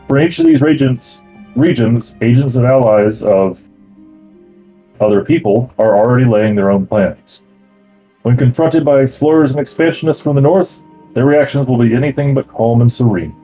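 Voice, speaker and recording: A man acting as game master, reading out campaign lore and then explaining the travel rules in a relaxed way with many fillers. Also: mid-range voice, normal speed, lo-fi sound, soft background music.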